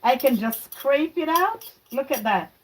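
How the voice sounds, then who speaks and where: A woman's voice: high voice, expressive, a little slow, noisy background. Chatty Jamaica-born woman cooking live. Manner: chatty, talks to viewers while cooking. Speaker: livestream cooking host. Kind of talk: casual cooking livestream. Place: home kitchen. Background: frying and stirring sounds.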